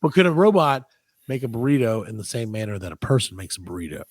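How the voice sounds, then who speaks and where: Lively, animated man hosting a podcast. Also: medium pitch, fast, crowd noise. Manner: playfully argumentative. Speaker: podcast host. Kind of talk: casual comedic banter.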